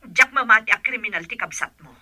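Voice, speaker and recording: Radio drama mother of grown sons, played by a woman: very bright, piercing edge, rough husk, motherly and questioning. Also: on the high side, a little fast, no echo. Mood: concerned. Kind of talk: Ilocano radio drama acting.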